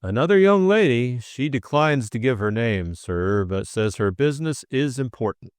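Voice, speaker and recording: Man acting a stiff, formal valet, lively intonation. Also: medium pitch, smooth, no echo, studio quality, lightly acted. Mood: dutiful, composed. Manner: measured, unflappable, scrupulously polite. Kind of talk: audiobook character acting.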